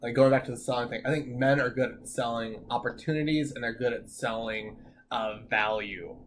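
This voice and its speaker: A man's voice: medium pitch, fairly even intonation, fairly quick. A man with a mid-range voice trades opinions in a loose podcast conversation. He is blunt and swears freely, stumbling over repeated words before getting his point out. A faint ambient hum runs underneath. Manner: blunt, profane, stammering restarts. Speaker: podcast co-host. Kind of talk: casual chat.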